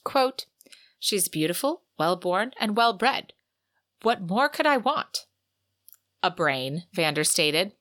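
A woman's voice: mid-range voice, sing-song, slow, pauses often, fairly bright tone, no room sound, clean studio sound. A woman co-hosting a book podcast, mixing casual chat with a read-out synopsis. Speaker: podcast co-host.

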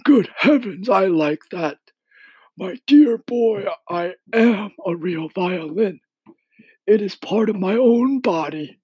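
Old storybook grasshopper, high voice, slow, swooping, genteel, proud, kindly lecturer. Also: male voice, lively intonation, husky, no room sound, exaggerated voice, low-quality recording. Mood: proud delight. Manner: leisurely, emphatic, old-fashioned gentlemanly phrasing.

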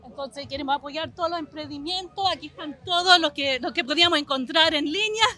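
Woman from Australia, high bright voice, eager festival organizer at an outdoor event. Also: a little fast. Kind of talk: casual interview answers.

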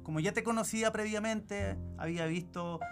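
High-voiced Chilean host over guitar music. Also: male voice, moderate pace, gravelly. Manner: enthusiastic, friendly. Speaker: podcast host. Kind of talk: podcast interview. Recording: podcast with guitar music behind.

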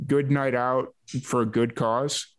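A man with a mid-pitched voice hosts an informal chat show. He asks his questions in a laid-back, conversational way. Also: fairly even intonation, slow pace, smooth. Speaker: podcast host. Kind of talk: casual chat interviewing.

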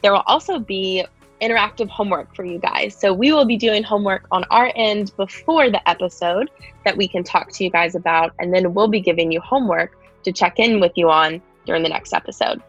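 A woman's voice: medium pitch, fairly quick, dull audio, soft background music. A woman who works as a health and wellness coach, co-hosting a podcast teaser in an upbeat manner, stretching out key words.

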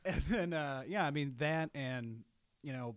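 A man in his mid-thirties co-hosting a podcast in casual chat, easygoing and quick to laugh. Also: on the high side, smooth, no room sound. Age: 30s. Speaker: podcast co-host.

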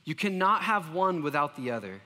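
A high-voiced man preaches with an earnest, self-deprecating manner, often stumbling into restarts. His tone is bright and forward, and a long reverb trails behind his words. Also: very animated, brisk. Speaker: pastor. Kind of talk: sermon.

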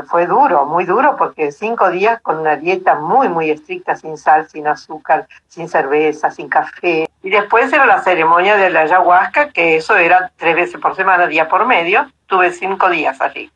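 A woman's voice: lowish voice, normal speed, a bit bright, husky, highs cut off. An Argentine woman of eighty-two telling stories casually as an interview guest, with an easygoing, anecdotal manner. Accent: Argentine Spanish.

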